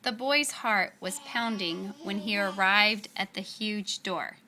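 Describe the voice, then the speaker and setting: A woman reads a children's story aloud in a bright, clear-cut storytelling style. Her phrasing is deliberate and dramatic, with pauses. There is some faint noise behind her. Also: medium pitch. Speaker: storyteller reading a picture book aloud. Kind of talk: expressive read-aloud narration of children's story.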